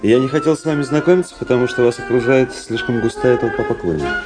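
An actor playing a cool, suave young Russian officer in a radio play, polite, understated and teasing. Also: male voice, mid-range voice, animated, fast, close to an everyday voice. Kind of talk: radio play acting with first-person narration. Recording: music playing behind the voice.

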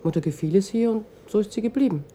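An actress playing a reserved, bereaved daughter of almost thirty in a German radio crime drama, speaking in short, matter-of-fact sentences. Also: female voice, mid-range voice, fast talker, mellow, slight background noise, natural voice. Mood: matter-of-fact, reflective. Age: young adult.

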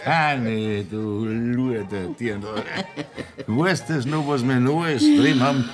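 An actor with a Bavarian accent playing a tipsy, sentimental farmer in a radio play. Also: male voice, mid-range voice, slow pace, a crowd in the background, close to an everyday voice. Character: drunk old farmer mourning his friend. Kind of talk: 1960s radio play acting.

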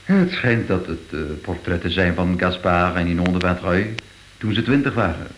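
An actor playing a courteous, practical gallery director in a radio drama, measured. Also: male voice, deep voice, expressive, fairly bright tone, a little hiss or hum. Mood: courteous, informative.

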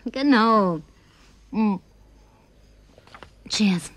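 An actress playing a tipsy, flirtatious, lonely woman survivor in a radio drama, coquettish and slipping into breathy whispers. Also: mid-range voice, very slow, a bit bright, slight background noise. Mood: tipsy reminiscing. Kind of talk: German radio drama acting.

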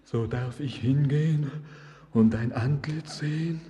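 A man's voice: mid-range voice, a little slow, mellow, slightly rough. An actor playing a feverish, yearning man in an impassioned, declamatory classical verse radio drama. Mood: entranced longing. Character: feverish, yearning man obsessed with a supernatural beloved.

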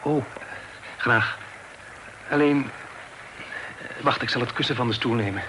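An actor playing a polite, flustered young gentleman in a Dutch radio play, speaking haltingly and courteously. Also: medium pitch, close to an everyday voice. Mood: hesitant, polite. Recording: noisy old radio play recording.

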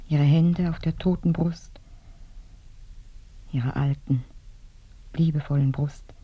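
An actress playing a lonely dead woman who grieves for her mother in a halting, dreamlike murmur in a radio play. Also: low voice, no room sound, dull audio, full character voice. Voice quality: breathy, whispery. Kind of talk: radio drama monologue.

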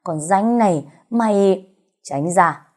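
A woman's voice: low voice, expressive, moderate pace, dark tone. Woman acting out a spiteful, sneering bully of an aunt. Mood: taunting. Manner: sneering, goading, quarrelsome. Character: spiteful, jealous pregnant aunt, bullying and shrill-tongued.

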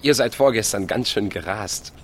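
A man's voice: fairly low pitch, normal speed, slight background noise, natural voice. An actor playing a teasing, insistent village man of thirty in a radio drama, pushily friendly. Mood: casual, coaxing. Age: 30s.

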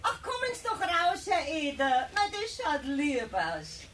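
A chatty elderly Bavarian lady, fussing cheerfully over a gift in a 1970s radio play. Her voice sits high and rises and falls freely. Faint noise lies under the old recording. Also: huge pitch swings, unhurried, a bit bright, full character voice. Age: older. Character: kind elderly Bavarian woman customer.

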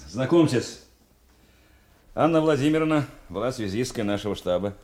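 An actor playing an ageing, genial but exacting war veteran turned teacher in an audio drama, with a hearty, wry manner. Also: male voice, mid-range voice, animated, normal speed, a little mellow, close to an everyday voice. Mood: wry, fond. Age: older.

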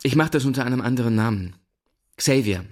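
A man's voice: mid-range voice, expressive, fast talker, natural voice. An actor playing an understated, hesitant eccentric radio presenter in his thirties, in a German radio drama. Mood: awkward, tentative. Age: middle-aged.

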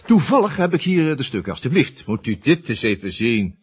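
An actor playing a cheeky, ambitious junior estate agent in a radio play, bluffing and flattering hesitantly. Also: male voice, medium pitch, normal speed, a little hiss or hum, natural voice. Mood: oily, persuasive. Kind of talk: Dutch radio drama acting.

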